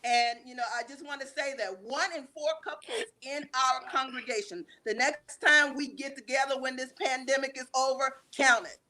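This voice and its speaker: A woman giving personal testimony as an interview guest, speaking emphatically and deliberately with dramatic pauses. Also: high-pitched, bright, forceful tone. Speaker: guest, stage play writer and director.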